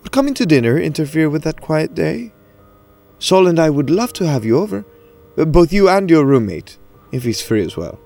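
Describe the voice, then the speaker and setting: Friendly, lively-pitched man in charge. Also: normal speed, gravelly. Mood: amused, friendly. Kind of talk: audio drama acting.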